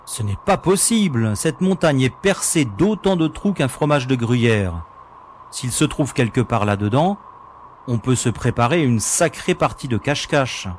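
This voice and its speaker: A man's voice: mid-range voice, animated, unhurried, lightly acted. A man voices a young space explorer with an easy, teasing manner. His lines come with a faint noise in the background. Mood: casual, teasing. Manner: teasing, casual. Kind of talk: audio drama acting.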